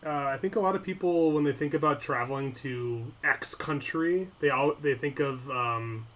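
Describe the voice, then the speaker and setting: A man with an ordinary mid-range voice hosts a relaxed podcast conversation. He talks haltingly, stopping and restarting with plenty of ums. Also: mid-range voice. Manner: hesitant, halting, lots of ums. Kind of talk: casual chat over a call.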